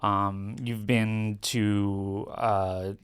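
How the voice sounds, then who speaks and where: Low-voiced man hosting a podcast interview. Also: little pitch movement, smooth. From Brooklyn, New York. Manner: halting, leading questions with "right?". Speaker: podcast host. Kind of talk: casual interview.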